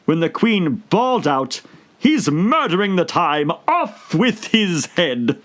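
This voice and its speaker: A man's voice: sits high, sing-song, takes it slowly, fairly bright tone, pitched above the performer's own voice, exaggerated voice. A man voicing a mad, touchy, mournful hatter with a theatrical, contemptuous manner in a storybook reading.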